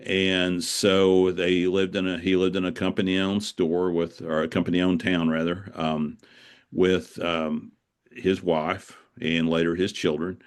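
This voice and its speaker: A middle-aged or older man talking casually as an author being interviewed, in a reflective, halting, rambling way. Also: sits low, little pitch movement, smooth. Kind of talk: casual conversational interview, storytelling.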